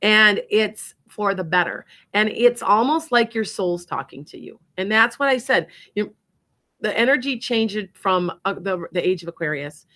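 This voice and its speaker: A woman's voice: medium pitch, lively intonation, normal speed, sharp tone. A woman with a Wisconsin accent hosting a psychic radio show, chatting in a rambling way and laughing often. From Wisconsin, USA. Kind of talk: casual chat and psychic readings.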